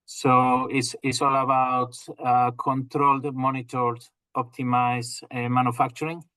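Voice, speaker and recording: Spanish guy on a video call, talks steady w lots of uhs, dry sound. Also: medium pitch, clean tone, dry recording. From northwest Spain. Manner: measured, many fillers and restarts. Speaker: company president, guest. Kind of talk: business interview over video call. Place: home or office in England.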